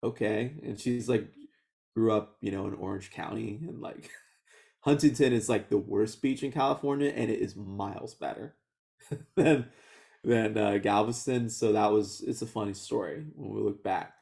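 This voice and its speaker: A man in his thirties, born in Houston and raised speaking Vietnamese first, recounts his childhood in a loose, conversational interview. His voice sits a little low with a noticeable gravelly fry, and he laughs easily and backs up to restart his sentences. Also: normal speed, darkish tone. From Houston, Texas. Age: 30s. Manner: laughs often, many restarts and fillers. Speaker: oral history interviewee. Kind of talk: relaxed conversational storytelling.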